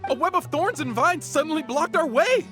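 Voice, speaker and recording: An excitable caravan hand from a fantasy game, voiced by a woman blurts out lines in a fairly high voice. The pitch leaps about over faint music. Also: moderate pace. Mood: alarmed.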